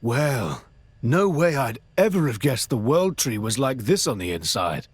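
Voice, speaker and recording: A man's voice: mid-range voice, big pitch swings, a little slow, husky, no room sound. A man voicing an eager, naive, good-hearted teenage hero in a video game, casual and full of wonder. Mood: amazed. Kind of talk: video game character acting.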